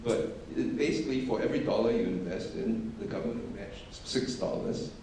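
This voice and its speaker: Low-voiced man in a reverberant hall. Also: animated, a little fast, mellow, slight background noise. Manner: matter-of-fact, many restarts and fillers. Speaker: panelist. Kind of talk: panel discussion. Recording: echoey hall, distant mic.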